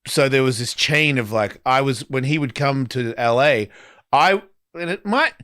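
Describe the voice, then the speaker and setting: This is a man hosting a talk show in loose, casual conversation. His pitch swings around a lot as he tells anecdotes bluntly, swearing and playing out both sides of remembered exchanges. The sound is dry and close, with no room to it. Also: lively intonation, normal speed, a bit bright, clear, resonant tone, dry recording. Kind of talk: candid casual chat, anecdotes.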